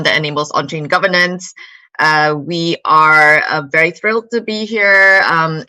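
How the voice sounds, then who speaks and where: Woman on a web panel, bright voice, lots of ums, dry sound. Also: on the low side, no echo, low-quality recording. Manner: hesitant, many fillers and searching for words. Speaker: panel guest, marketing head. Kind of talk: online panel discussion.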